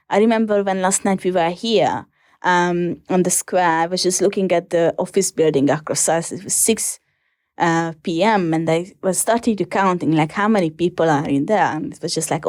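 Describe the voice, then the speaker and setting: A young woman with a Hungarian accent, answering interview questions in a hesitant, casual way with many pauses and fillers. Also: fairly low pitch, darkish tone, no room sound, studio quality. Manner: hesitant, many ums and restarts. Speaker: interview guest.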